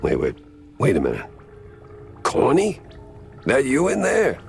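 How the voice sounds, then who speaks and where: An actor playing a world-weary, hard-boiled mouse private detective in a cartoon noir game, sarcastic and deadpan. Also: male voice, medium pitch, a little slow, vocal fry, over music, heavily acted. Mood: exasperated.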